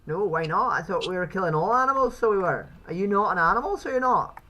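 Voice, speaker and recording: A woman's voice: medium pitch, expressive, fast, fairly bright tone, lo-fi sound. A woman streamer questioning strangers casually in a silly, put-on Irish accent full of repeated tag phrases. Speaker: streamer interviewing strangers on a live video chat.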